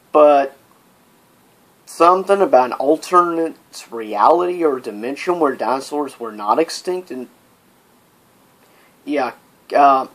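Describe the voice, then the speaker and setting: Casual, higher-voiced man vlogging his opinions. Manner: informal, exasperated rhetorical questions, rambling asides. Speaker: video vlogger, host. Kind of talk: casual, opinionated top-list vlog commentary.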